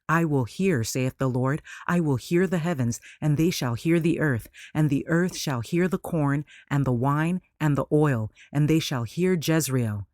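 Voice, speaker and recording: Higher-pitched man reading devotional lesson. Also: a little mellow, no room sound, clean studio sound. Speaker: lesson reader.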